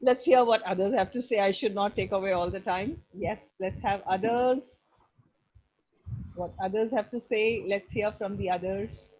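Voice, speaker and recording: A woman's voice: medium pitch, moderate pace. A woman with an Indian accent teaching an online class, giving effusive feedback and often repeating her phrases. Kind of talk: enthusiastic spoken feedback to a class. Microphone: video call. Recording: dull lo-fi video call audio.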